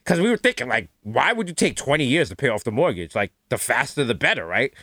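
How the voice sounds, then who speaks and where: A man's voice: medium pitch, quick pace, no room sound. A man co-hosting a comedy podcast, riffing in crude banter and jumping into mock voices. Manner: animated, jumps into mock voices, swings loud and soft. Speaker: podcast co-host.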